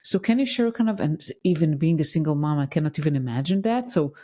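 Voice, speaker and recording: A woman's voice: low-pitched, expressive, fast talker, dark tone. A woman hosting a podcast interview, asking questions hesitantly with many fillers. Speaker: podcast host.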